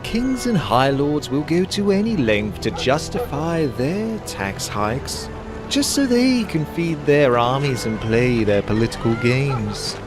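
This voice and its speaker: A man's voice: medium pitch, very animated, slow. A man playing a smug, dismissive human magistrate in a fantasy game, pompous and condescending. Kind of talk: fantasy game cutscene acting. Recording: music under the voice.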